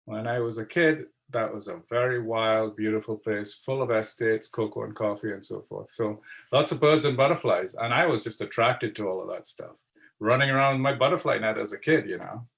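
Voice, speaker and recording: Gravelly low-voiced man giving a slide talk. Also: fairly bright tone. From Trinidad and Tobago. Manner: unhurried, searching for words with fillers and repeats. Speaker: guest speaker.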